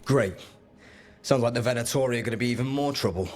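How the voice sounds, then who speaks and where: A man's voice: mid-range voice, fast talker, natural voice. A man playing a wary, skeptical rebel informant in a fantasy video game, guarded and matter-of-fact. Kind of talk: dramatic video game acting. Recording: faint music behind.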